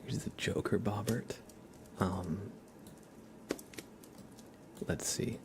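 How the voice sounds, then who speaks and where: A man hosting an ASMR livestream, chatting casually and haltingly in a soft, hushed voice that drifts into whispering. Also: fairly low pitch. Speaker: livestream host. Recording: steady background noise with keyboard typing.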